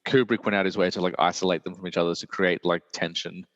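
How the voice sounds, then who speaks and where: A man, hosting a casual film chat in a deep, croaky voice while he recovers from illness, rambling with many fillers. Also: little pitch movement, quick pace, fairly bright tone, no room sound, in a large hall, muffled recording. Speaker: podcast host.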